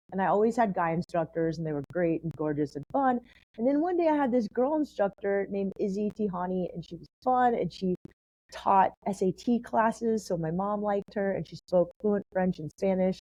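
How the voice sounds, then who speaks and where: A woman's voice: medium pitch, moderate pace, mellow, dry recording, lo-fi sound. A woman from San Diego, a podcast guest, telling long personal stories in a chatty, rambling way with plenty of "like" fillers. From San Diego, California. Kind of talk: casual conversational storytelling.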